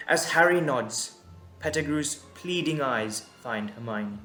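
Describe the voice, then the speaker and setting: A man's voice: a little high, animated, unhurried, pushed and bright. A man narrating a scripted audio drama in a storytelling style. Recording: roomy echo, background music.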